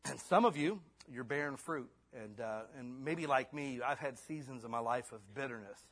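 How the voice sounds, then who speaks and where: A husky-voiced man in his fifties preaches to a church congregation. His delivery moves from soft, close asides to fervent, rising appeals, and he ends in prayer. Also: medium pitch, normal speed. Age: 50s. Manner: swings between hushed and fervent. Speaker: pastor. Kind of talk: sermon ending in prayer.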